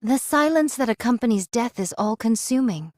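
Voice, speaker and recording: A woman's voice: on the high side, animated, moderate pace, a little mellow, no echo, clean studio sound, close to an everyday voice. An actress voicing a stern, weary and stoic swordswoman in a video game, with formal, solemn phrasing. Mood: grave, stern. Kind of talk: dramatic game voice acting.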